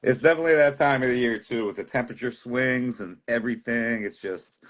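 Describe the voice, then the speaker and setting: A man hosting a livestream, relaxed, friendly and chatty with guests and viewers. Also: medium pitch, a little fast. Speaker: livestream host.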